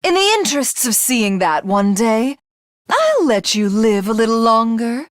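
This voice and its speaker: A woman's voice: fairly high pitch, sing-song, measured pace, no echo, clean studio sound. A woman voicing a haughty, sadistic alien villainess in a video game, obsessively lovestruck and self-glorifying, with a grandiose, condescending, theatrical delivery. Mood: smug, taunting.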